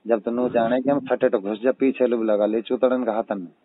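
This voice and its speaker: A man with a husky voice and a Mewati accent, chatting casually in a private phone call. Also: medium pitch, fairly even intonation, fairly quick. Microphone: telephone. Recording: muffled, tinny phone call recording.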